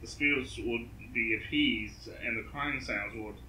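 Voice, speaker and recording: A man reading a ghost story from a book, a little haltingly. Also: mid-range voice, pushed and bright. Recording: echoey room with faint background noise.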